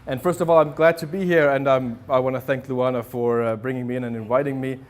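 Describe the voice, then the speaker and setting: A man's voice: mid-range voice, fairly even intonation, fast. A man giving an informal lecture to art students, talking hesitantly with many fillers and restarts. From Germany. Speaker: visiting artist lecturer. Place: classroom at an art academy.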